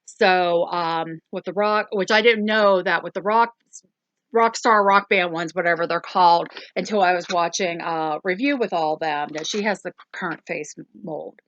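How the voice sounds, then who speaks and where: A woman's voice: fairly low pitch, normal speed, fairly bright tone, lo-fi sound. A woman hosting a casual doll review, rambling along with lots of ums and self-corrections. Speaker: toy review channel host.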